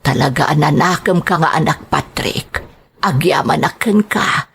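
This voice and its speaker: A woman's voice: deep voice, a little slow, gravelly, a bit bright, husky, full character voice. A woman playing a humble, loving mother in a radio drama, earnest and plaintive, with an Ilocano accent. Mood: tender, concerned. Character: humble, grateful middle-aged mother, worried and loving.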